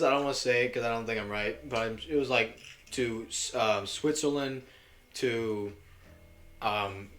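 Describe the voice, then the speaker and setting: A man's voice: on the low side, fairly bright tone. Casual young man co-hosting a podcast. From Russia. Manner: blunt, swears, laughs often. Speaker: podcast co-host. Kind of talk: casual chat.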